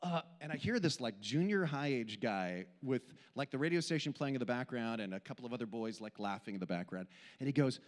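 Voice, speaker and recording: Animated older Swiss-born speaker in a hall. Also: male voice, mid-range voice, brisk. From Switzerland. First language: Swiss German. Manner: animated, self-deprecating storytelling. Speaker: camp speaker. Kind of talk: humorous sermon. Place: indoor hall stage with audience. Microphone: handheld microphone. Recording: long hall reverb.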